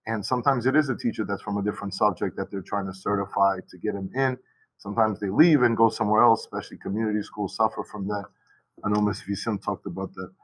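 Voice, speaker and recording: A man's voice: fairly low pitch, fairly even intonation, fast talker, poor audio. Chatty man teacher on a video call. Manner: rambling, with many restarts and asides. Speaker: high school Portuguese teacher. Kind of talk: casual panel talk on a video call. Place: school.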